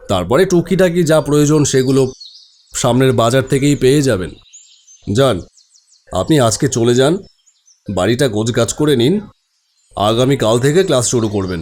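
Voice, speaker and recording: A man playing a courteous male village headmaster in an animated story, reassuring and explaining things helpfully. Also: medium pitch, voice pushed down, close to an everyday voice.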